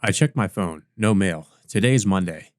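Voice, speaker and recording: A man's voice: low-pitched, animated, normal speed, a little mellow, no room sound, clean studio sound. A man hosting a let's play, reading a visual novel aloud with casual asides. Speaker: let's play host and reader.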